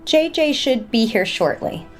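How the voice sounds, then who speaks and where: An actress playing a sharp, controlling female board member in an audio soap opera, brisk and exasperated. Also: a little high, expressive, soft background music. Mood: apologetic. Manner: brisk, commanding, indignant.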